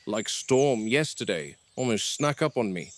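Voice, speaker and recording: A man playing a grave, reflective middle-aged game warden, speaking a measured, precise field log in an analog horror drama. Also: lowish voice, animated. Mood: wary, musing. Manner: measured, solemn, precise phrasing. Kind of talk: scripted monologue as a spoken field log.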